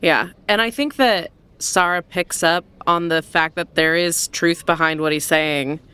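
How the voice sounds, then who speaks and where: A woman plays a tabletop roleplaying game with a smooth, clear voice on the lower side. She describes her character's actions in a casual, chatty way. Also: measured pace, pushed and bright.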